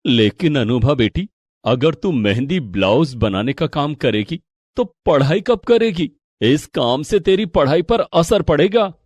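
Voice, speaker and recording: A man's voice: fairly high pitch, expressive, unhurried, darkish tone, no room sound. A man voicing a concerned, stern middle-aged father in a family story, speaking earnestly. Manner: earnest, admonishing.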